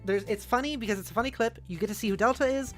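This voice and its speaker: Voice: mid-range voice, very fast. A streamer host chatting casually and animatedly with viewers on a livestream. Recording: music playing behind the voice.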